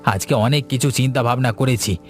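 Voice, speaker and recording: A man as a mid-pitched protective village husband. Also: fairly quick, faint music behind, lightly acted. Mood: reassuring.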